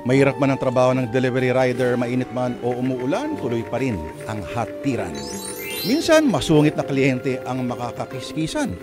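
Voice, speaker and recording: A man's voice: mid-range voice, animated, moderate pace. A man with a Filipino accent hosting and narrating a radio drama introduction in a theatrical, mock-heroic way. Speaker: host and narrator. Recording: music bed behind the voice.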